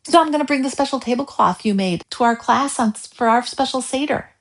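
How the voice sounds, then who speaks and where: A bubbly little schoolgirl from a storybook, acted out by a woman, eager and excited. Her pitch jumps well up high. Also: brisk, close to an everyday voice. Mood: keen.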